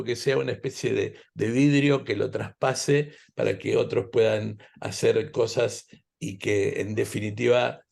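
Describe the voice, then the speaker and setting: A man's voice: fairly low pitch, gravelly, a little mellow, breathy edge. An Argentine man hosting an interview, reflecting conversationally and often repeating himself as he searches for words. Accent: Argentine Spanish. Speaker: interview host.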